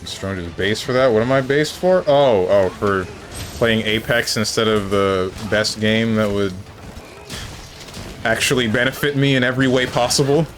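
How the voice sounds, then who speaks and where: A man streaming a video game, chatting casually with his viewers and joking around, with bursts of mock excitement. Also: lowish voice, normal speed. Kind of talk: casual live-stream chat while gaming. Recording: game music playing underneath.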